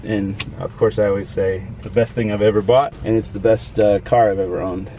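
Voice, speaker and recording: A man in his twenties, raised mostly in Nebraska, explaining things in a relaxed way on a road-trip vlog from inside a car. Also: on the low side, a little fast, recorded from a distance, slight background noise, poor audio. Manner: easygoing, explaining as he goes. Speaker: vlogger and driver. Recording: loud background music.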